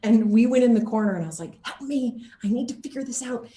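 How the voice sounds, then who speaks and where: A woman's voice: fairly high pitch, quick pace. A woman chatting casually as an interviewed actor, telling stories expressively and acting out quotes as she goes. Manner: animated, expressive storytelling, quoting others as she goes. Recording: echoey room.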